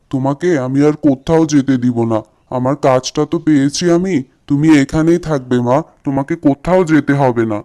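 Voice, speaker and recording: A man's voice: medium pitch, dark tone, no room sound, lightly acted. A man voicing an adult son talking to his mother in a cartoon moral story.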